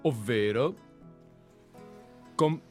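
Relaxed, offhand man chatting. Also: mid-range voice, drawn-out words, soft background music. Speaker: co-reader. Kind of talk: casual chat.

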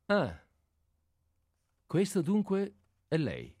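A man playing a composed, courteous householder facing a night intruder in a radio play, measured and dryly polite. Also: high-pitched, wildly swooping pitch, a little mellow, no room sound, clean studio sound, pitched above the performer's own voice, heavily acted. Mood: calm, dry.

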